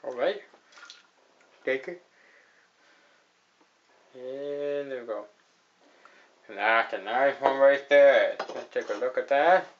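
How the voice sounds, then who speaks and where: A young man talks casually through a beer review, rambling and padding his words with fillers. His voice sits at an ordinary middle pitch for a man. The audio is a bit dull and lo-fi, picked up by a close camera mic in a small indoor room. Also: slow pace, pauses often. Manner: rambling, repeats "actually", lots of fillers. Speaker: host of a beer review show.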